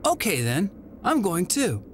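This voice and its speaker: A woman's voice: fairly low pitch, wildly swooping pitch, measured pace, noisy background. A woman voicing a plucky, determined boy hero in a video game, earnest and emphatic. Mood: resolute, gentle. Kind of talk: cartoonish video game voice acting.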